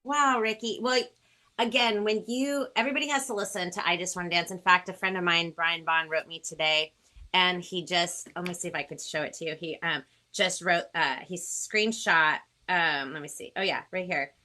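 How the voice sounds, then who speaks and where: A woman's voice: on the low side, fairly even intonation, normal speed, sharp tone. A woman chatting casually and appreciatively as the host of a music interview. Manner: gushing, appreciative. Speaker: host and singer-songwriter. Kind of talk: casual video-call chat.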